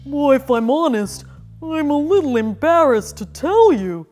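A polite storybook dinosaur played by a woman, gently apologetic and well-spoken. The creature speaks slowly in a highish voice that swings up and down, with hiccups breaking in and music playing behind. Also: very animated. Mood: sheepish. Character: polite, hiccuping long-necked dinosaur, big-dog sized.

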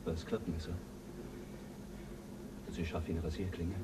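An actor playing the commanding officer of a spaceship crew, giving urgent orders in a radio drama. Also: male voice, deep voice, level tone, normal speed, very noisy, natural voice. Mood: tense. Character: ship commander Jell, tense and in charge. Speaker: crew leader.

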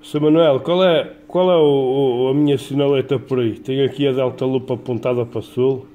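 A man with a European Portuguese accent chatting casually as an amateur radio operator, in a colloquial, halting way with repeated words. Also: medium pitch, steady tone, mellow. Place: indoors at a home radio desk.

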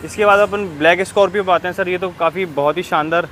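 A man's voice: high voice, steady tone, fast, fairly bright tone, faint music behind. A man hosting a casual walkthrough, deferentially putting questions to a seller and repeating details back for viewers. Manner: repeats answers back, deferential, addresses listeners. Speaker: vlog host interviewing a car dealer. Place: open-air used car lot. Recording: outdoor lot with clear ambient noise. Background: outdoor ambient noise.

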